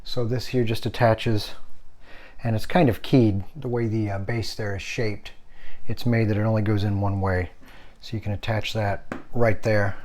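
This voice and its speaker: A man reviewing a gaming headset, showing its parts and explaining them in a relaxed, conversational way with a few "uh" fillers. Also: lowish voice. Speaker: tech product reviewer. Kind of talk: hands-on product review.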